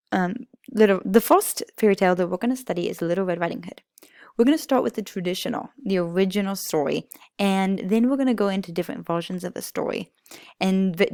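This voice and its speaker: A woman's voice: lowish voice, a little mellow, dry recording, studio quality. A woman teacher explaining a lesson to young children in an informal, emphatic way.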